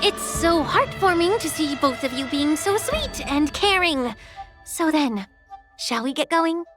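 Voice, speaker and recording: A woman playing a poised, gently sly healer and official in a video game, polite, measured and coaxing. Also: sits high, lively intonation. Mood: composed, sly. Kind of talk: scripted anime-style video game dialogue. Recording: background music under dialogue.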